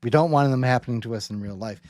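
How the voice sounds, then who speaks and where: A man with a mid-pitched voice hosts a casual discussion of faith. He works through his thoughts in halting, looping phrases, often tagging on little check-in questions. The sound is dry, with very little room in it. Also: darkish tone, dry recording. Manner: halting, rambling phrasing with rhetorical check-ins. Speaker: host.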